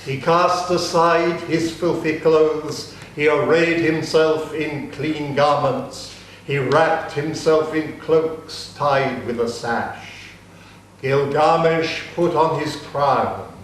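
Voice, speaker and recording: A man narrates an ancient epic in a measured storytelling voice, taking his time over each phrase. The voice carries a heavy echo with faint ambience behind it. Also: fairly high pitch, a little hiss or hum. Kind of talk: theatrical dramatic reading. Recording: very echoey room, faint ambience.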